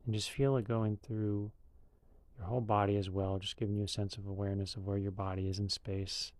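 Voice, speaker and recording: A man leading a guided meditation in a calm, unhurried, loosely conversational way. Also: lowish voice, no room sound.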